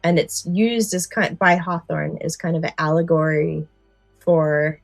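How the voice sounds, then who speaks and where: A woman's voice: low-pitched, steady tone, measured pace, gravelly, dry recording, faint music behind. A woman co-hosting a podcast in casual chat, recounting favourite stories and often correcting herself. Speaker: podcast co-host.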